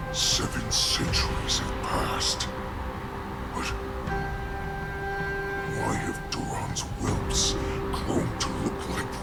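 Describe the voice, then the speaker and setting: A man voicing an ancient, vengeful wolf-like beast in a video game, with a breathy, menacing growl. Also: extremely low pitch, heavily acted. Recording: loud game music behind.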